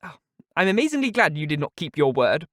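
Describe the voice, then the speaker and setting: A man's voice: fairly high pitch, sing-song, brisk, a bit bright, no echo, studio quality, pitched above the performer's own voice. A man reading aloud voices a sly young woman who gushes with false sweetness. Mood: gushing. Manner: gushing, insinuating.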